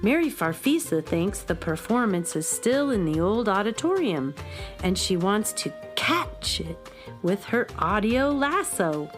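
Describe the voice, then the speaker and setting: An actress playing an eager young music student and knowing fan, explaining emphatically in a children's radio drama. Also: female voice, medium pitch, big pitch swings, measured pace. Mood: admiring.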